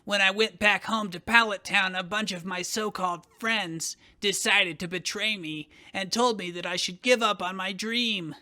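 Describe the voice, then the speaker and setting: Proud, vengeful male hero, acted by a man, very forceful high voice, scornful delivery for fan fiction theatre. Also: steady tone, moderate pace, hardly any pauses. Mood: bitter, heated. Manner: scornful, declamatory. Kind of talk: dramatic fan fiction reading.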